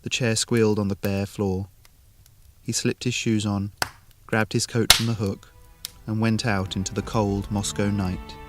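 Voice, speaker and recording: A man's voice: fairly low pitch, level tone, measured pace, over music. A man narrating a scripted short story as the storyteller.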